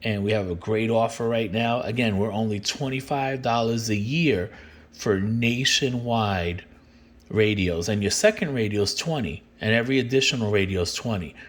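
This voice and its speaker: A man with a fairly low voice walks the listener through a product as a company representative. His delivery is plain-spoken and explanatory, in the style of an informal demonstration with a sales pitch. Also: moderate pace.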